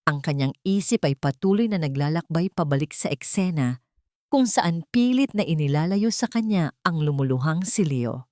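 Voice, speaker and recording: A woman reads a scripted dramatic story as narrator, in a measured, literary storytelling manner. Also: low-pitched, animated, mellow, no room sound, studio quality.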